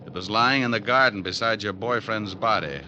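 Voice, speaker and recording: A man's voice: on the low side, normal speed, sharp tone, highs cut off, slight background noise. An actor playing a cocky, wisecracking male private detective in an old radio drama, narrating between scenes. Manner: wisecracking, cocky tough-guy banter. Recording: old radio broadcast with music.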